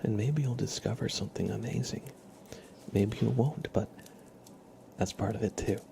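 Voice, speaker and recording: A man speaking softly and gently in a casual ASMR livestream style, often drifting into a whisper. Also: on the low side, normal speed, vocal fry, a little hiss or hum. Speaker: ASMR live streamer, host. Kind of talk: soft-spoken ASMR livestream, casual chat and tarot reading.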